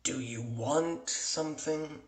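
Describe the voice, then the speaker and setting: A man's voice: a little high, slow pace, echoey room. A man voicing an unhinged, brooding, articulate homicidal maniac in a theatrical comic dub. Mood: agitated, menacing. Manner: theatrical, erratic, swinging from hushed to shrieking.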